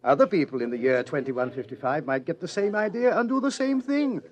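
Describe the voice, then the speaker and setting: An actor playing a suave, self-indulgent pursuer from the future in a vintage radio drama, urbane, leisurely and mocking. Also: male voice, on the high side, fairly quick, natural voice. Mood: smug menace. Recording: old radio drama recording.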